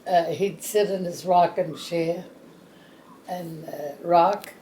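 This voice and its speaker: A woman in her nineties with a gravelly throat, telling old family stories in a rambling, halting way full of uh's. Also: fairly low pitch, steady tone, dark tone, roomy sound, a little hiss or hum, rough recording. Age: 90s. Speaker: birthday celebrant. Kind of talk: casual family storytelling.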